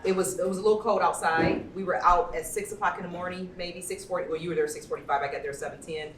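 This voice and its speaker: A woman with a slightly low voice hosts a live panel in a chatty, effusive way. The room is echoey and she sounds distant from the mic, speaking personally and informally. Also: steady tone, very fast, slight background noise. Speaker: panel moderator and event host. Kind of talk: informal spoken panel introduction. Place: indoor event venue, amplified panel talk.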